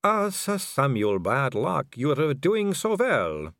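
A man voicing a suave, poised aristocratic female vampire, speaking slowly and silkily. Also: medium pitch, huge pitch swings, a bit bright, no room sound, clean studio sound, pitched above the performer's own voice, full character voice. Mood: silky. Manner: slow, silky, languid delivery.

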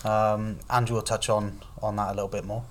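Measured man co-hosting a property podcast. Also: lowish voice, flat delivery, a little hiss or hum. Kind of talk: casual podcast chat.

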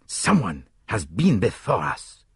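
A man voicing an excitable, self-assured detective with a dramatic, formal way of talking, in an audiobook. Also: mid-range voice, moderate pace, husky, no room sound, clean studio sound, voice pushed up. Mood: indignant, puzzled. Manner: dramatic, exclamatory, formal turns of phrase.

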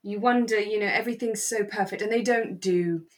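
A British woman co-hosting a relaxed fan podcast, chatting in an effusive, sentimental way. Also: mid-range voice, fairly quick. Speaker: podcast co-host. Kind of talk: relaxed fan chat about a radio drama.

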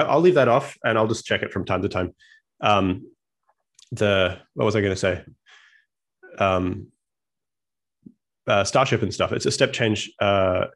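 A man in his thirties with an Australian accent, giving a friendly, self-deprecating slide presentation as a guest physicist over a video call. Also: fairly low pitch.

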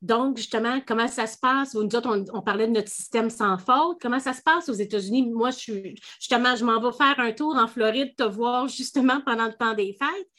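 A woman's voice: on the high side, a bit bright. A woman with a Quebec French accent, hosting a legal podcast in a chatty, explanatory way. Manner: chatty, explanatory, quick restarts. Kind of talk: casual legal podcast chat.

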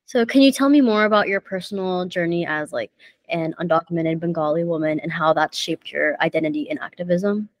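A woman's voice: fairly low pitch, fairly quick, no room sound. A woman interviewer who asks her questions in a tentative, searching way. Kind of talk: casual interview.

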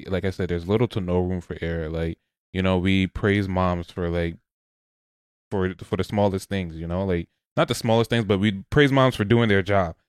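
A young man co-hosting a podcast, relaxed and opinionated, swearing casually in chat. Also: deep voice, moderate pace, smooth, dry recording, studio quality. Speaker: podcast co-host.

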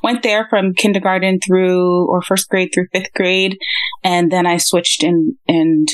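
Measured woman guest, dry close sound. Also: lowish voice, little pitch movement, dry recording. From Boston, USA. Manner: measured, thoughtful answers with fillers. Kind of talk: interview answers.

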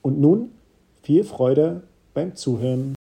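A male podcast host reads a scripted introduction. His voice is very dark and gravelly, with a steady reading manner. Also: on the high side, slow.

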